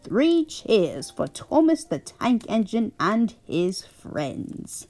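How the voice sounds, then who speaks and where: A woman's voice: sing-song, extremely slow, darkish tone, faint music behind, exaggerated voice. A woman voicing a stern, pompous male railway boss in a bedtime story, with an English accent. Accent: put-on English. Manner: pompous, booming announcements.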